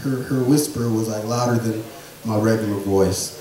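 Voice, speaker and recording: A man telling rambling family stories in a stand-up set, with a soft voice he says he has. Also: fairly low pitch, fairly even intonation, moderate pace, heavy reverb, recorded from a distance, rough recording. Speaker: stand-up comedian. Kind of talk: storytelling stand-up comedy. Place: small theatre or bar stage.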